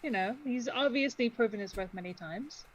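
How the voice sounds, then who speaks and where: A woman's voice: on the high side, moderate pace, rough recording. A woman playing a tabletop roleplaying game, improvising casual table talk partly in character.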